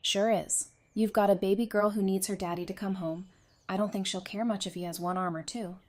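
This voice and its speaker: A woman's voice: medium pitch, fairly even intonation, brisk, a little mellow, close to an everyday voice. A woman playing a tough, caring survivor with a familiar, teasing way of talking in a fan audio drama. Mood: caring, encouraging.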